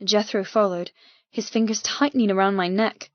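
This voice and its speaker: Female audiobook narrator, mid-pitched, expressive and deliberate with dramatic intensity; close, dry, slightly muffled recording. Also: no room sound. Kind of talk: dramatic first-person fiction narration. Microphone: close mic in dry room.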